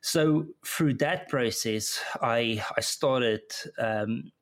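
A middle-aged man with a South African accent, telling his own story in an interview, halting and often repeating words as he restarts. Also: mid-range voice, a bit bright. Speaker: interview guest. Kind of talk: personal account in conversation.

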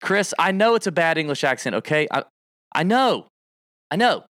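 A man co-hosting a casual golf livestream chat, talking in an animated, emphatic way. His pitch jumps and dips a lot as he presses his points. The sound is dry and close. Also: normal speed, no room sound. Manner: animated, emphatic, piles on stats. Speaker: golf betting co-host.

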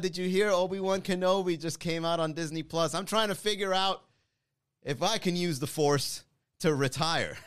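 Man, 30s, comedian chatting to his audience, smooth dry low voice. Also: fairly bright tone, no room sound. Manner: rambling, self-deprecating, chatty. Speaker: comedian talking to viewers. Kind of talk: casual monologue to an online audience.

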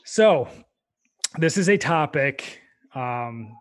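A man's voice: medium pitch, expressive, drawn-out words. Chatty male podcast host. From United States. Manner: friendly, many fillers and restarts. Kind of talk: casual interview chat.

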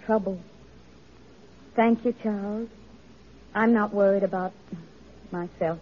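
A woman's voice: mid-range voice, fairly even intonation, slow, lightly acted. An actress in an old-time radio drama playing an earnest, determined woman reformer with a genteel, emotive, pleading manner. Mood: calm, resolute. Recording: dull vintage radio recording, noisy, crowd behind.

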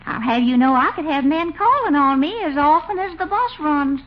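An actress playing a coquettish, wheedling Southern belle in a 1950s radio comedy, coy and pleading with an American Southern accent. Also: female voice, high-pitched, expressive, normal speed. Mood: huffy. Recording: muffled, hissy old radio recording.

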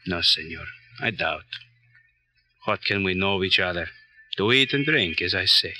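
An actor playing a weary, resigned Puerto Rican immigrant man with a Spanish accent, speaking halting simple English in an old radio crime drama. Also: sits low, lively intonation, pushed and bright, full character voice, rough recording. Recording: old radio recording, faint noise.

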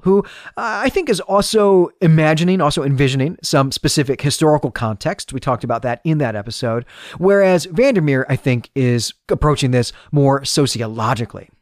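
A man's voice: fairly high pitch, no echo, studio quality. A man hosting a book review podcast, speaking in articulate, literary, carefully phrased commentary. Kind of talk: solo book review commentary, partly scripted.

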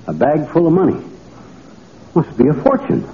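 Excitable middle-aged folksy grumbler, animated vintage radio comedy delivery, noisy narrow-band sound. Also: male voice, on the high side, moderate pace. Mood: amazed, gleeful. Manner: indignant folksy exclamations and colorful grumbling. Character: excitable, blustering, folksy man with big grumbles. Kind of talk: 1940s-50s radio comedy acting. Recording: tinny, noisy old radio recording.

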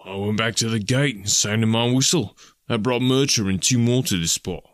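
A rustic police constable played by a male narrator from a detective storybook, simple and wary. He grumbles along in homely, uneducated phrasing, raised above the reader's usual pitch, in a close, dry recording. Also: normal speed, no echo, lightly acted. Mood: recounting. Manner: uneducated dialect phrasing, aggrieved.

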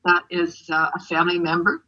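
An older woman from Canada, raised speaking Ukrainian, talks in a bright but breathy voice. She rambles and loses her thread, with many fillers and restarts. The audio sounds muffled and lo-fi. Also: fairly low pitch, level tone, fairly quick. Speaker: author being interviewed. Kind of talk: casual interview answers.

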